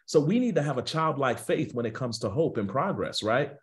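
A man's voice: mid-range voice, sing-song, brisk. An American man in his thirties, a pastor speaking off the cuff on a video call with an earnest preacher's cadence, repeating phrases for emphasis. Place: small indoor room on a video call.

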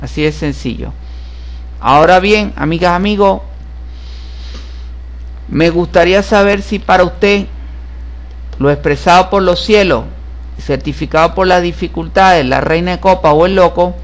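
A man's voice: sits high, measured pace, pauses often. A man giving a solemn, repetitive horoscope reading over tarot cards, stressing each point as certain. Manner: solemn, repetitive, emphatic about being certified. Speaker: tarot reader and astrologer.

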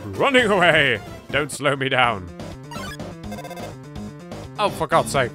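A man's voice: medium pitch, big pitch swings, takes it slowly, music in the background. A man with an English accent giving theatrical, wry commentary as he plays a video game. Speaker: let's play host. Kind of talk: gameplay commentary.

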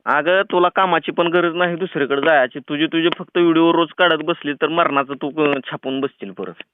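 Male prank caller, rural Maharashtrian accent, high-pitched, chatty and pushy, on a narrow phone line. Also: steady tone, moderate pace, a little hiss or hum. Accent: rural Maharashtrian Marathi. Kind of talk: casual teasing phone chat. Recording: tinny, phone-like band-limited sound.

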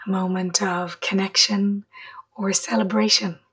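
A woman leading a guided meditation in a soft, breathy voice, gently, with long pauses between short phrases. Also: mid-range voice, flat delivery. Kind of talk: guided meditation, slow and measured.

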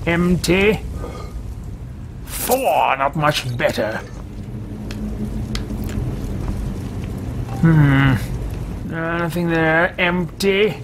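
Man playing a pompous, slow-spoken fantasy mage. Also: mid-range voice, background noise. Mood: appraising, unimpressed. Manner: pompous, disdainful, theatrical, formal wording. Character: haughty, greedy male mage adventurer, easily bored and scornful. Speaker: let's-play host roleplaying a game character. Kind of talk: theatrical in-character game commentary.

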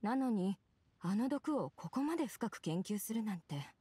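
A woman playing a grave, worried, perceptive physician in a video game, speaking in a measured, serious way. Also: mid-range voice, lively intonation, warm tone, husky, no room sound. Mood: serious, probing. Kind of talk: dramatic Japanese game voice acting.

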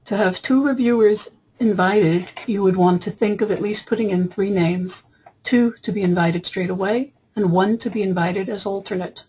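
A woman software trainer narrating a screen tutorial in an explanatory, step-by-step way, with a husky voice. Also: mid-range voice, moderate pace, poor audio. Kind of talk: screen-capture tutorial narration, semi-improvised. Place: voice-over for screen recording.

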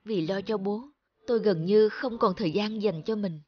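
Cartoon drama lead: actress as gentle young woman, sorrowful, earnest, mid-range voice. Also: medium pitch, normal speed, lo-fi sound, lightly acted. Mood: sad, subdued. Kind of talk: cartoon drama acting with reflective narration.

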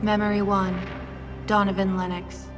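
An actress as a solemn narrator, giving a portentous scripted introduction to a fantasy audio drama. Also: female voice, mid-range voice, little pitch movement, moderate pace, close to an everyday voice. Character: solemn female narrator of a dream podcast. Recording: loud music under the voice.

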